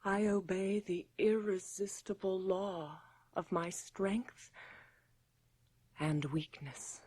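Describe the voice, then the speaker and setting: Melancholy female vampire for radio horror: intense, halting, husky whisper, extremely drawn-out delivery, close dry sound. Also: medium pitch, steady tone, warm tone, dry recording. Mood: tender, intense. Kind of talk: radio horror drama acting.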